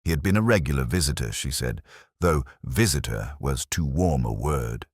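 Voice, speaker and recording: Man, extremely low voice, lively intonation, scripted detective narration, measured and brooding, literary. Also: husky, no room sound, studio quality. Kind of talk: scripted first-person mystery narration.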